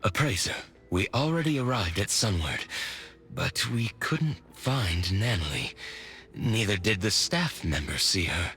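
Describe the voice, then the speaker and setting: An actor playing a polite, earnest teammate in a video game, reporting in an expressive manner. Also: male voice, on the low side, unhurried, heavily acted. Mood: puzzled, earnest. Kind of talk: scripted video game voice acting. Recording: music behind the voice.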